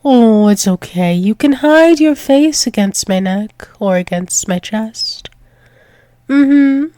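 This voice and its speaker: A woman's voice: a little high, expressive, slow, mellow, dry recording, studio quality. A woman voicing a doting, dominant dragon-wolf demoness lover in an intimate ASMR roleplay, teasing with pet names and dropping into whispers. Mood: teasing, tender.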